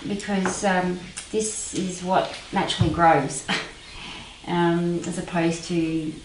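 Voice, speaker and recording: Woman, thirties, relaxed home-cook demo, roomy kitchen, dish clatter behind. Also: fairly low pitch, takes it slowly, far from the mic, background noise. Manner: hesitant, many ums, relaxed. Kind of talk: casual cooking demonstration. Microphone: far camera mic. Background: clattering dishes and cutlery.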